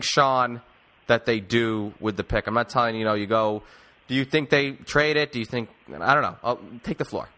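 Bright, forceful man hosting sports talk. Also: fairly low pitch. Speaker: sports podcast host. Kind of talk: casual sports talk interview.

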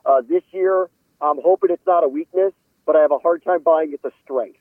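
A man giving conversational football analysis as a guest, measured and opinionated, qualifying his points as he goes. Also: a little high, no room sound. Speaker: sports analyst interviewed as a guest. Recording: phone-like radio call audio.

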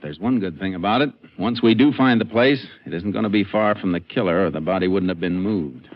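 Deep-voiced, calm Texas Ranger. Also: male voice, a little fast, dry recording. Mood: calm, analytical. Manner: terse, matter-of-fact. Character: calm, shrewd male Texas Ranger investigator. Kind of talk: 1950s western radio drama acting and narration. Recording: muffled, hissy old radio recording.

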